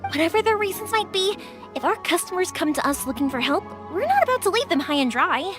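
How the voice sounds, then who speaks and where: A woman's voice: very high-pitched, quick pace, over music. A woman voicing a caring young woman who makes fireworks in a video game, chatty and reassuring. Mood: upbeat, reassuring. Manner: animated, reassuring, casually chatty. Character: cheerful, caring young woman fireworks maker, loyal to customers. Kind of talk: English video game voice acting.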